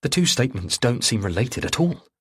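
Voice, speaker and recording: A man's voice: medium pitch, very animated, brisk, breathy edge. A man reading a thriller audiobook as narrator, in a dramatic scripted style.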